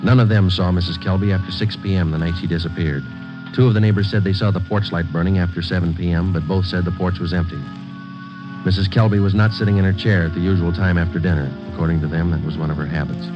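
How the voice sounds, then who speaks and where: A deadpan American police detective, played by a man from classic radio crime drama. He is low-voiced and clipped, rattling off facts quickly without fuss. The sound is a dull old broadcast with music behind. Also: close to an everyday voice. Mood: flat, factual. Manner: deadpan, terse, matter-of-fact. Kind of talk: 1940s-50s radio drama narration, clipped and factual. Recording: dull vintage radio recording.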